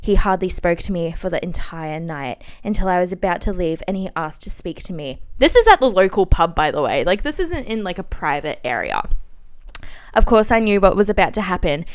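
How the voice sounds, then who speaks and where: Podcast host: Australian woman, twenties, clean smooth tone, theatrical diary-reading delivery with self-deprecating, chatty asides. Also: on the low side, brisk. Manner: animated, self-mocking, giggly, exclaiming.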